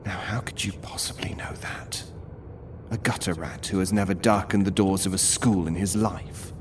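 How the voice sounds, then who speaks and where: Man as low-voiced, brooding Victorian doctor. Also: fairly even intonation, moderate pace, far from the mic, a little hiss or hum, close to an everyday voice. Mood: disdainful. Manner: brooding, formal. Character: troubled, disdainful brain doctor in Victorian London. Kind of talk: audio horror drama acting.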